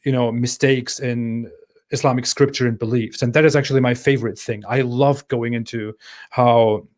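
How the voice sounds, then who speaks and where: A man of middling pitch hosting a relaxed live Q&A from a home mic. He talks in a halting, thinking-aloud way, reading viewer comments out and answering each one. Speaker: channel host answering live chat. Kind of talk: casual livestream Q&A.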